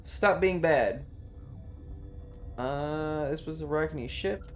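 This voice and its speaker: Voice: medium pitch, slow, faint music behind. A streamer casually commenting on a game and chatting with viewers in a rambling, playful, profane manner. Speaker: live streamer.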